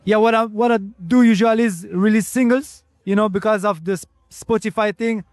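Male interview guest, French accent, high register, very dry sound, courteous conversational delivery. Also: fairly even intonation, normal speed, a little mellow, no room sound. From France. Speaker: interview guest, reggae singer. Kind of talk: casual interview chat.

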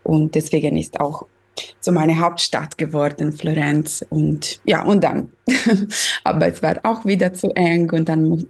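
A pianist from Sicily, Italy, a woman whose first language is Italian, speaking haltingly with many fillers while joining an interview by video call from home. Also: on the low side, creaky, darkish tone, slightly rough. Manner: hesitant, searching for words, many fillers. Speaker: guest pianist. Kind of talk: casual interview chat.

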